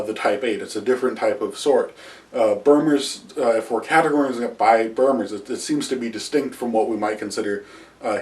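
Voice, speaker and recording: A man in his thirties giving an unscripted history lecture to camera at home, pausing often with "uh" and restarting phrases. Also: medium pitch, a little mellow, far from the mic. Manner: halting, many "uh" fillers and restarts, gestures with both hands. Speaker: history video host. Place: small room at home with wall hangings and shields. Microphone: camera a few feet away.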